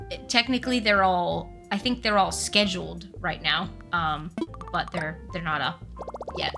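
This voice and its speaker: A young woman streaming from her room with a mild cold, chatting casually and scatterbrained while she plays and answers chat. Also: on the low side. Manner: rambling, scatterbrained, lots of ums and restarts; soft laughs, reads and answers chat. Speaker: livestreamer. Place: room at home with the window open. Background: game music.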